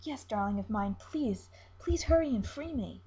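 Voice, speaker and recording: A woman's voice: on the high side, expressive, normal speed, warm tone, natural voice. A woman voicing a naive young mermaid in a story, with a breathless, emotional delivery. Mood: gushing, eager. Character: naive young female mermaid, lovestruck, pleading and frantic.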